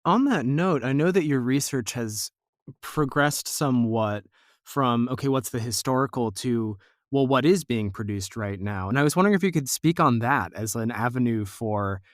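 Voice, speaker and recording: A man's voice: mid-range voice, expressive, moderate pace, dry recording, studio quality. A man hosting a conversational podcast interview, asking reflective, discursive questions.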